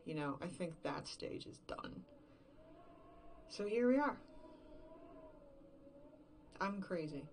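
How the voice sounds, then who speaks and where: Actress as distraught, rambling, lowish-voiced lone woman. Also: animated, vocal fry, slight background noise, exaggerated voice. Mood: bleak resignation. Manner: rambling, halting, self-questioning, profane. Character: distraught, unraveling woman left alone in an emptied world. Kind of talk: serial horror fiction podcast monologue, confessional.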